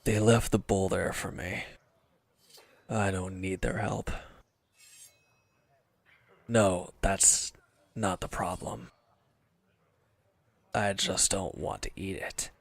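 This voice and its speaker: A man plays a wounded villain in an audio drama, with a low voice. He is bitter and withdrawn, answering in curt, grudging phrases as if guarding himself. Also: fairly even intonation, takes it slowly, creaky, long pauses, no room sound, exaggerated voice. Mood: sullen, evasive. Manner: curt, evasive, grudging, sighing. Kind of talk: scripted audio roleplay acting.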